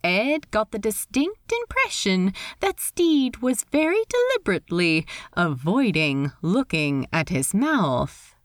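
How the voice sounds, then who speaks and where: A woman reading a story aloud in expressive scripted narration. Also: medium pitch, slow pace, hardly any pauses, no room sound, clean studio sound. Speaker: reader of fan fiction.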